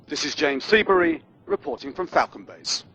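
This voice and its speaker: An actor playing a serious television war correspondent, delivering a scripted field news report in a video game. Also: male voice, fairly high pitch, sing-song, normal speed, creaky, heavy background noise. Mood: urgent, serious. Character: urgent male American TV war correspondent.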